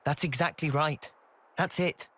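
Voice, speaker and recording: An actor playing an earnest, determined young outlaw soldier who leads by persuasion in a video game cutscene. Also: male voice, fairly high pitch, unhurried, pushed and bright. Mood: earnest, persuasive.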